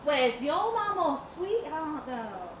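A woman's voice: sits high, big pitch swings, slow pace, voice pushed up, full character voice. A woman reading the part of a brusque, bossy working-class mother in a live story reading. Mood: brisk, probing. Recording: roomy, distant mic with audible noise.

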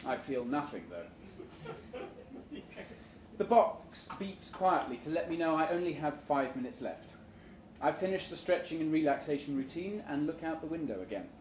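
A man giving a live, measured, deadpan reading of satirical fiction at an indoor venue. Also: a little high. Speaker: short story reader. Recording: roomy, noisy live venue recording.

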